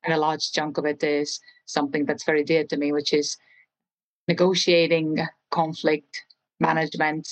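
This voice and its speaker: A woman's voice: low-pitched, flat delivery, moderate pace, low-quality recording. A woman in her forties from Estonia with an Estonian accent, a podcast guest who answers earnestly and thoughtfully, often restarting her sentences. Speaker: guest, real estate trainer. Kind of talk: podcast interview conversation.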